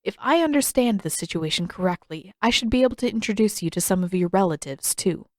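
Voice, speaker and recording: A woman's voice: medium pitch, animated, fairly quick, darkish tone, dry recording, clean studio sound, close to an everyday voice. A woman voicing a practical adult healer in a storybook, with a matter-of-fact, businesslike manner.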